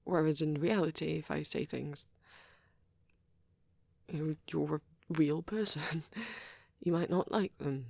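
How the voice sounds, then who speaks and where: A woman voicing a shy, nervous young male vampire in a soft ASMR roleplay, hesitant and stammering, with a breathy, husky voice. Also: deep voice, steady tone, unhurried, pauses often, no room sound, exaggerated voice. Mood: shy, flustered. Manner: hesitant, stammering, self-doubting. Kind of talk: ASMR boyfriend roleplay, improvised rambling.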